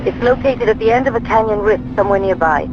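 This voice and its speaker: An actor playing a dry, formal Imperial officer turned rebel, speaking over the radio in a video game. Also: male voice, high-pitched, fast. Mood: persuasive. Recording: lo-fi game radio, loud music.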